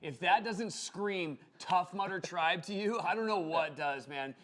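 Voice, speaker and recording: A man co-hosting a show, talking with energetic, playful banter. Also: high voice, measured pace. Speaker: co-host.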